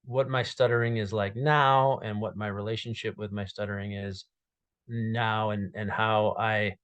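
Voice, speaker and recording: Guy in his 30s who stutters, lots of um and uh, talks slow, dark little room on a webcam. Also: fairly low pitch, little pitch movement, smooth. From Minnesota. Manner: stutters with repeated sounds and blocks, many fillers. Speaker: guest speaker. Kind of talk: personal story told to camera. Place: small dark room indoors.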